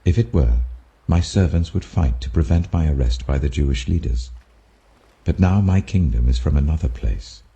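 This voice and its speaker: A man voicing a deep, grave holy teacher. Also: lively intonation, normal speed, dark tone, full character voice. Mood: solemn. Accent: British. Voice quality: deep, husky. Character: calm, authoritative holy teacher, grave and unafraid.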